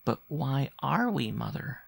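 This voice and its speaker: A man's voice: medium pitch, sing-song, unhurried, gravelly, a bit bright, slightly rough, no room sound, studio quality, voice pushed up, full character voice. A man voicing a young boy in a storybook, timid yet stubborn, with an earnest, questioning manner. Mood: timid, curious. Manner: pleading, wide-eyed questioning. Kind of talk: storybook character dialogue.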